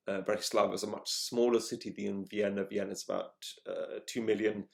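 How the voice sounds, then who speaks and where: A man hosting his own show, telling a travel story in a measured, halting way as he searches for words. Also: on the low side, flat delivery, creaky, a little mellow. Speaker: podcast host. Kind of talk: solo travel monologue.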